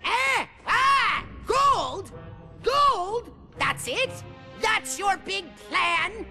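A man voicing a small, pompous evil overlord in an animated game, nasal and theatrical in his ranting. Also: extremely high pitch, extremely slow, creaky, faint music behind, heavily acted. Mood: scheming, indignant. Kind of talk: animated game villain acting.

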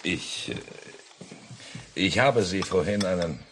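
Army officer, very low voice, lively intonation, reserved and polite, 1950s radio drama. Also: male voice, slow pace, full character voice. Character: polite occupying army officer, quiet and friendly. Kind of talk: 1950s radio play acting. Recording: noisy mono 1950s radio recording.

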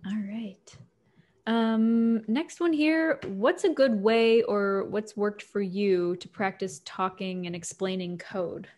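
Slow-talking woman hosting a video call. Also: medium pitch, a little mellow. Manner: unhurried, encouraging. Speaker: host. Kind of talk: casual video call chat. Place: room at home. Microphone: video call with earbuds and desk mic.

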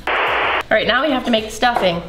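A woman's voice: on the high side, fairly quick, roomy sound, background noise. A young woman hosting a casual cooking video, chatting and explaining each step as she cooks in a large home kitchen. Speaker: host.

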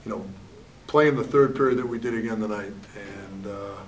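A man's voice: on the low side, moderate pace, far from the mic, slight background noise, poor audio. A man in his forties, a head hockey coach, answering questions candidly at a postgame press conference and often saying "you know". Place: press room at a backdrop. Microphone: table mic, at a distance.

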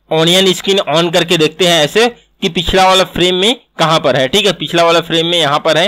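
A man's voice: fairly high pitch, a bit bright. A man teaching animation in a screen-recorded tutorial, explaining step by step and repeating himself.

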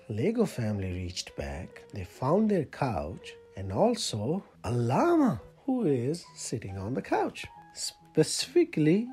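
A storyteller reading a picture book aloud to children in an expressive, playful way with dramatic emphasis. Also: mid-range voice. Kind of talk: animated picture-book read-aloud for kids.

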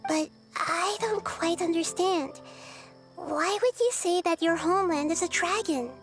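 Very high-voiced wise little goddess. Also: female voice, over loud music. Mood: thoughtful, concerned. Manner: thoughtful, deliberate. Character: small childlike girl deity, wise, thoughtful, gentle. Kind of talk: video game voice acting.

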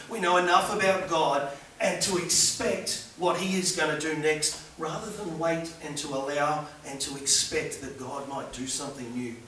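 A man's voice: fairly high pitch, sharp tone. A middle-aged man preaching a sermon to a small congregation, earnest and building emphasis. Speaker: pastor. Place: small church hall with congregation. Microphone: far camera at back of room. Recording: echoey room recorded from far off with faint hiss.

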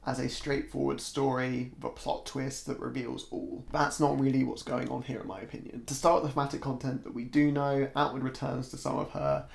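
A man in his twenties with a slightly croaky voice, discussing a book to camera in a casual, semi-scripted way at home. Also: mid-range voice, level tone, fairly quick, few pauses. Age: 20s. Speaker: book reviewer, video host. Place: small room at home, indoors. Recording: echoey untreated room.

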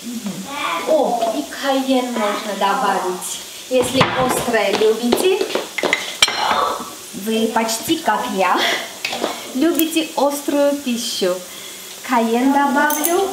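A woman's voice: fairly high pitch, heavy background noise. A woman in her thirties, a native Armenian speaker, hosting a casual cooking demonstration in her home kitchen with a chatty, playful manner. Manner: chatty, playful, slow and unhurried. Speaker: cooking show host. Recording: echoey kitchen with loud music bed.

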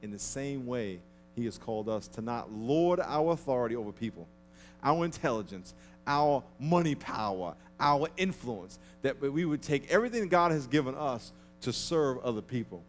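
A man in his thirties preaching a sermon on a large church stage into a headset mic, lively and acting out people's grudges. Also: medium pitch, moderate pace, soft background music. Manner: animated, acts out petty grudges in a mocking voice. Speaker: pastor.

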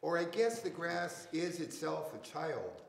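Older male poetry reader, unhurried and measured, recorded in a reverberant hall. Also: on the high side, lively intonation, vocal fry. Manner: deliberate, measured phrasing. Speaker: poet reading at a public reading. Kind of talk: poetry recitation. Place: indoors in a church hall.

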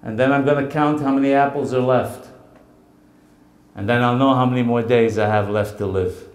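A man voicing a worried but clever male magician who explains things slowly. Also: medium pitch, fairly even intonation, pitched below the performer's own voice, lightly acted. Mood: deliberate. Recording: roomy echo.

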